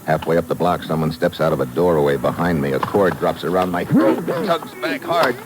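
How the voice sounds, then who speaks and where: An actor playing a tough, dogged American secret agent in a 1950s radio thriller, with clipped, terse delivery. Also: male voice, deep voice, lively intonation, normal speed, heavy background noise. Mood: terse, tense. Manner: clipped, terse, hard-boiled. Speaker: lead actor who also narrates the story. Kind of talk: 1950s radio thriller acting, hard-boiled first-person narration. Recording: vintage 1950s radio recording.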